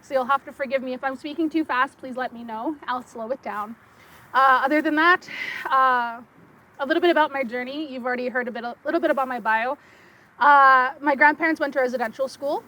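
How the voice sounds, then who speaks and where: A young woman speaking English with a slight French accent, giving an excitable conference talk with quick bursts and many fillers. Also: high voice. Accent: French-tinged Canadian English. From Saskatchewan, Canada. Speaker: guest speaker.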